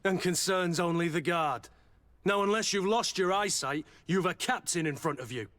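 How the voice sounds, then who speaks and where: A no-nonsense army captain in a game, slightly high voice, blunt and bossy. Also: male voice, normal speed, fairly bright tone. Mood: stern, impatient. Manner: curt, firm, commanding. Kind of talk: video game character acting.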